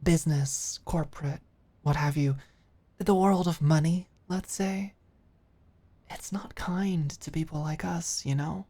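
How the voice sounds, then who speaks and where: A woman playing an earnest, caring office manager in an intimate ASMR roleplay, speaking haltingly and with open emotion. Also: low voice. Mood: serious, caring. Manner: halting, sighing, emotionally expressive.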